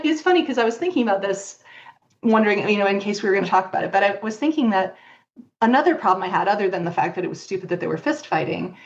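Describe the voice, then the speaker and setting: A woman's voice: mid-range voice, dull audio. A woman speaking as a podcast guest in a casual, rambling, opinionated conversational style. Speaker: podcast guest, fan fiction author.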